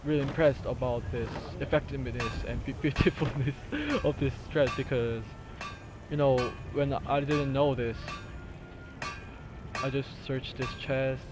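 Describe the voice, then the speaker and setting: A man from Korea with a Korean accent, casually talking through a video game glitch, halting and searching for words in English. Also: medium pitch, fairly even intonation, background noise. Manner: halting, with fillers and restarts, laughs now and then. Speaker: gamer recording a tutorial. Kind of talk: casual gameplay commentary. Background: game music and sounds.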